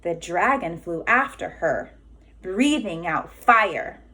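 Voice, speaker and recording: A woman reads a picture book to young children in a teacherly way. She goes slowly, stretching key words, and her pitch moves in lively swings. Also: rough recording. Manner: emphatic, drawn-out key words. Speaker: kindergarten teacher reading aloud. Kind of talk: expressive storybook narration for young children.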